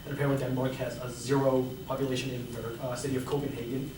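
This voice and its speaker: Male interviewer, halting questions, lots of ums, distant mic and background noise. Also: medium pitch, little pitch movement. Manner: hesitant, many fillers. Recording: echoey room, mic far off, noisy.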